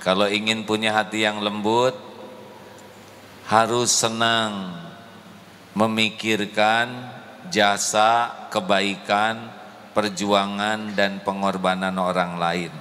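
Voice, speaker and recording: A middle-aged man preaching in a large mosque into a handheld microphone, speaking in a deliberate, emotive way to the congregation. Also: on the low side, little pitch movement, sharp tone, a little hiss or hum. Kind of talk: mosque sermon. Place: large mosque hall, indoors. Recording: echoey hall with faint ambience.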